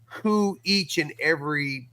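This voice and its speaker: A man's voice: fairly high pitch, slow pace, sharp tone, dry recording. A man chatting casually as a podcast guest, enthusiastic and emphatic, often stammering and repeating words as he goes. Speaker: podcast guest, co-creator of an audio drama.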